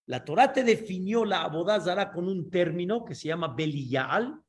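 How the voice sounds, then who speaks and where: A man leads a Torah class as its teacher. His voice sits high for a man, and he explains each point patiently, pausing to ask whether his listeners follow. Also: animated. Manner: explanatory, checks understanding with frequent asides.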